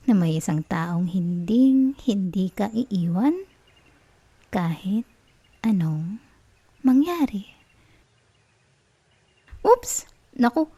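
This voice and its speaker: A woman playing an obsessive, sweetly manipulative young college girlfriend in an ASMR roleplay, with a Filipino accent and a soft, coaxing, cooing manner. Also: medium pitch, dry recording. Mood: bubbly, hurried. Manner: coaxing, cooing, giggly reassurance.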